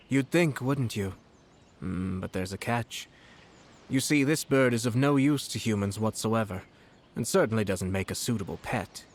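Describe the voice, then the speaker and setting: An actor playing a composed, shrewd young nobleman in a video game, speaking eloquently with measured, subtly mocking courtesy. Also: fairly low pitch. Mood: sly amusement. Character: composed, shrewd young noble lord, politely cutting commissioner.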